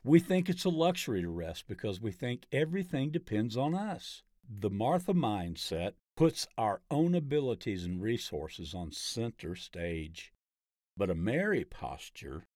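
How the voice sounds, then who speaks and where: A low-pitched man narrates a devotional text in a deliberate, measured manner. His pitch moves expressively as he leans on key phrases, and the pace stays very unhurried. The sound is dry and close, like an audiobook. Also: a little mellow, no room sound.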